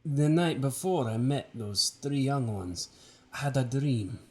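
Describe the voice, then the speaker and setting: A man's voice: mid-range voice, animated, a little slow, a little mellow, lightly acted. An actor playing a stern male general on a royal mission, speaking in a clipped, formal way. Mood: terse. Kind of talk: audio drama acting.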